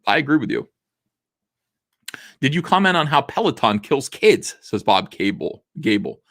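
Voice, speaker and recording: A man in his forties hosting a casual livestream, reading viewer messages aloud, rambling with lots of fillers while his voice is going rough. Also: medium pitch, big pitch swings, moderate pace, a bit bright. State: losing his voice. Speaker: livestream host. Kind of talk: casual livestream chat reading super chats.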